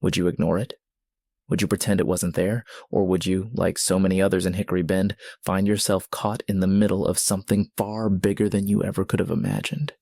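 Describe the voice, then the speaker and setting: A man narrating a scripted suspense story in a brooding, deliberate manner, with short dramatic phrases. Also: low voice, little pitch movement, gravelly, a little mellow.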